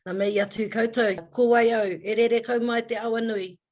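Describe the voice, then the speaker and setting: Measured woman giving a formal introduction. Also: fairly high pitch, steady tone, a little mellow. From New Zealand. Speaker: guest business owner. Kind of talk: formal Māori introduction, then casual talk.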